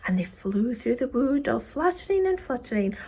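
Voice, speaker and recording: A woman reading a children's poem expressively, with a theatrical manner that dips into hushed tones. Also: on the high side, big pitch swings, normal speed, slightly rough. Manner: theatrical, dropping into hushed whispers. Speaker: story reader.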